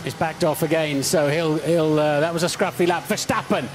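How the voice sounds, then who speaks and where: A man giving measured, analytical asides as co-commentator in live motor racing coverage. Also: on the high side, fairly even intonation. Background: music.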